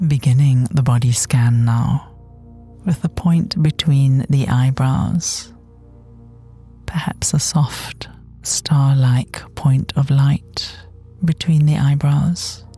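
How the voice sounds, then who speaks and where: Soft, slow, low-lit mellow woman guiding meditation. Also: fairly even intonation. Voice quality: soft, gentle, breathy, often near whispering. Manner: soothing, unhurried, long pauses between phrases. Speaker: guided meditation teacher. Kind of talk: guided body scan meditation. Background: soft meditation music.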